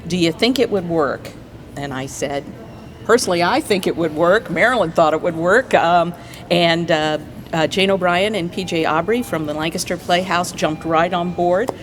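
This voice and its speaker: A woman with an American accent speaks as a guest in a casual interview. Her voice sits on the low side, and she is enthusiastic and emphatic. There is slight noise behind her. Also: moderate pace. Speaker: guest, community theater player. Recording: faint background noise.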